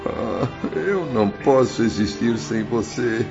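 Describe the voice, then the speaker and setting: A man's voice: medium pitch, slow pace, creaky. An actor playing the remorseful, desperate spirit of a father in a radio drama, tenderly imploring with great emotion. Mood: despairing remorse. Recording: dull lo-fi audio under loud music. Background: dramatic music.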